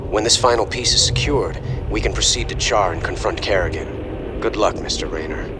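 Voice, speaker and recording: An actor playing a polished, calculating young aristocratic prince in a video game, speaking in a formal, measured, articulate way. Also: male voice, fairly low pitch, background noise, lightly acted. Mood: composed, formal. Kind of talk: video game cutscene acting.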